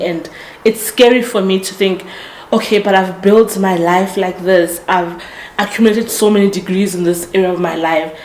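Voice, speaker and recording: A woman in her late twenties talks through her thoughts in an earnest, reflective solo podcast. Her voice sits a little low for a woman, and she often stops to correct or restart a sentence. The room around her gives a noticeable echo. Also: a little mellow. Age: 20s. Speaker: podcast host. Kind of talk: casual motivational monologue.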